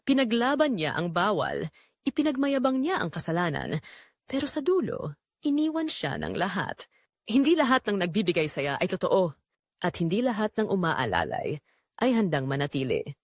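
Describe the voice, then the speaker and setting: A woman's voice: fairly low pitch, big pitch swings, moderate pace, dry recording, studio quality. A woman with a Filipino accent narrating a scripted dramatic story.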